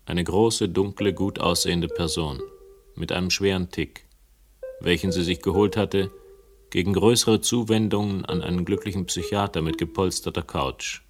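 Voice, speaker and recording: A world-weary investigator with a very low voice. He tells his own case in a radio crime play, wry and literary in his phrasing. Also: fairly even intonation, normal speed. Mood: brooding, wry. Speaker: narrator and protagonist. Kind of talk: radio crime drama narration.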